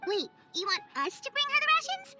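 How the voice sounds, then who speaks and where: A tiny, excitable fairy girl for a game cutscene. The voice is extremely high and squeaky, swooping animatedly in a cutesy, inquisitive way. Prominent music plays behind it. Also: brisk. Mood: puzzled, incredulous. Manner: cutesy, exclamatory, speaks of self in third person. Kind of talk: video game cutscene voice acting.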